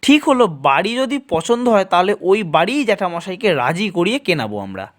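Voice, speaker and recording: A man's voice: high voice, sing-song, normal speed, a bit bright. A man narrating a scripted horror story in the first person, in a dramatic storytelling style.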